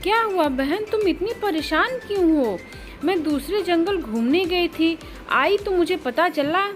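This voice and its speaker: A woman's voice: extremely high pitch, animated, a little slow, soft background music, voice pushed up. A woman voicing a caring, helpful female parrot friend in a concerned, reassuring manner for a cartoon story.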